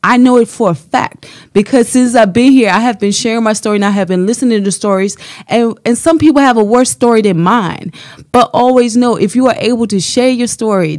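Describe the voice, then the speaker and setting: A woman's voice: mid-range voice, a little mellow, dry recording, clean studio sound. A woman from Germany with a German accent, a life coach speaking earnestly and with faith about her business as a radio guest. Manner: earnest, motivational, faith-filled. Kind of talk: radio interview, personal testimony.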